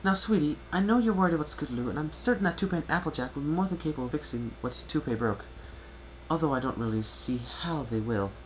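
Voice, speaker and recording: Casting: male reader as refined female cartoon pony, fussy and genteel, voice pushed high, noisy home recording. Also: lively intonation, quick pace, lightly acted. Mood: brisk, reassuring. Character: refined, ladylike female pony boutique owner.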